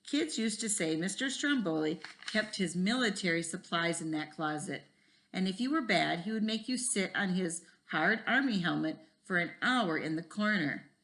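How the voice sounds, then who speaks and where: A woman's voice: fairly low pitch, animated, moderate pace, fairly bright tone. A woman voicing a friendly, easygoing male computer teacher in a storybook, with a folksy, explanatory way of talking. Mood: reminiscing.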